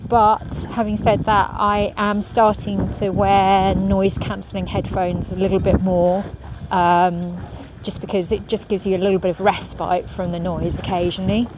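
Quiet-voiced woman outdoors at a marina. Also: medium pitch, level tone, a little slow. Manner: quiet, thoughtful, reads out questions then answers with many ums. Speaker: professional ocean racing sailor answering viewer questions. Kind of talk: casual question and answer. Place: outdoors on a marina pontoon beside a racing yacht. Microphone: camera at medium distance, phone in hand. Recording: outdoor recording with clear background noise. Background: marina ambience and passing vehicles.

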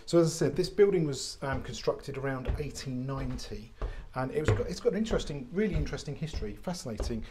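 A man, an estate agent giving an unscripted walk-through tour of a warehouse flat, chatty and full of fillers and restarts. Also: mid-range voice, quick pace, few pauses, background noise. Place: large warehouse flat, indoors. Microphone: handheld phone camera, speaker off screen.

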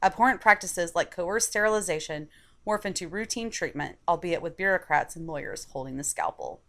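A woman narrates a written opinion piece in a fairly low voice. Her delivery is measured and articulate, clearly read from a prepared script. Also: fairly bright tone. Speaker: article reader.

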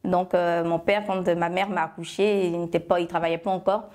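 A woman in her thirties from Côte d'Ivoire with an Ivorian French accent, chatting as an interview guest, full of fillers and self-corrections, on a lapel mic in a bright studio room. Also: on the low side, little pitch movement, fairly quick. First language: Agni. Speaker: guest, love shop founder. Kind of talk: relaxed interview answers.

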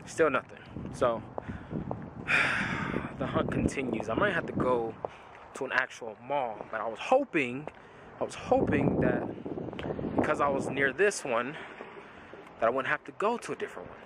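A young man chatting casually to a handheld camera for his vlog, in a relaxed way. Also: medium pitch, a little slow, pauses often, heavy background noise. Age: young adult. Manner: laid-back, talks to camera, commands dogs firmly. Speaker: vlogger. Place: car park outdoors, then house indoors. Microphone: handheld camera at arm's length.

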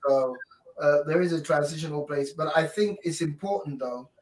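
Hesitant pastor in echoey room. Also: male voice, on the high side, a little mellow. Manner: measured, hesitant, many fillers. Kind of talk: answering questions in an interview. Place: home room, indoors. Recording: echoey room, far from mic.

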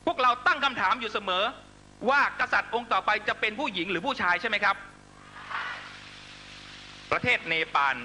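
A man's voice: high-pitched, pushed and bright, slight background noise, low-quality recording. A man delivering a fiery political speech to supporters, accusatory and emphatic, constantly pressing listeners with rhetorical questions. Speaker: political rally speaker.

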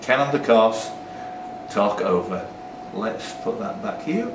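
A man's voice: on the low side, animated, slow. A man hosting a live pub quiz, reading out questions with chatty, joking asides, recorded at a distance. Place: indoors in a pub. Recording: echoey, noisy pub, distant microphone.

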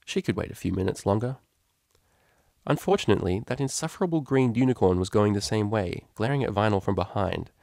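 A man's voice: on the low side, animated, a little fast, vocal fry, a little mellow, no echo, studio quality. A man reading a scripted story as an audiobook narrator.

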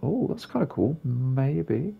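A man with a gravelly, husky voice giving casual, rambling commentary as he plays a video game. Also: mid-range voice, very animated, slow, narrow, tinny audio. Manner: rambling, offhand. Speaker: gaming video creator.

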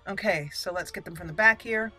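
A woman's voice: mid-range voice, fairly quick, loud music behind the voice. A woman hosting a playful, chatty game commentary, talking to the characters as she plays. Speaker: gaming channel host. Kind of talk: casual roleplay game commentary.

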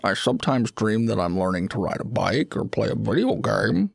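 A man's voice: on the low side, big pitch swings, moderate pace, gravelly, husky, dry recording, exaggerated voice. A man voicing a polite, hesitant closet monster in an audio story, speaking in a mild, conversational way. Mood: uncertain, reflective. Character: male closet monster, hesitant, polite, tentacled child-eater. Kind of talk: acted audio story dialogue.

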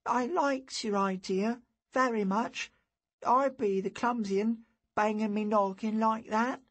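A man's voice: sits high, fairly even intonation, measured pace, a bit bright, voice pushed up. A man voicing a timid, eager young peasant boy in an audiobook story, hesitant and deferential, with rustic grammar. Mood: weak, earnest.

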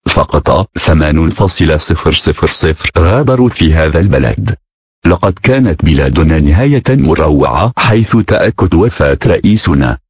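A man's voice: a bass voice, lively intonation, racing, slightly rough, dry recording, clean studio sound. A man reading a scripted emergency announcement as a broadcast announcer, formal and synthetic-sounding.

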